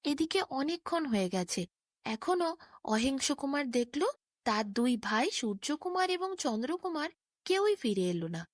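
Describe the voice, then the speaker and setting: Animated woman storyteller, fairly high voice. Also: a little slow, dry recording, clean studio sound. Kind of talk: bedtime fairy-tale narration.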